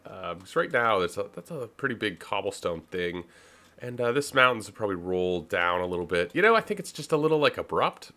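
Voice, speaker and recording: A man from Canada with a deep voice giving casual let's play commentary, musing aloud with wry, self-deprecating asides. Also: sharp tone. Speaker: gaming YouTuber, host.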